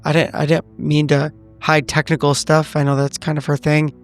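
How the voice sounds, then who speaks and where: A man's voice: on the high side, monotone, normal speed, faint music behind, close to an everyday voice. A man voicing a shaken, evasive veteran agent in a tabletop roleplay, stammering and repeating himself. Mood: troubled, defensive. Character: shaken, evasive male veteran agent, smoking, guiltily confessing. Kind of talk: improvised in-character roleplay.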